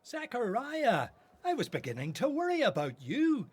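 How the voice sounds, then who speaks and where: An actor playing a fussy, cautious, pious temple priest in a radio play, fretful and quick to correct. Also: male voice, very high voice, wildly swooping pitch, breathy edge, exaggerated voice.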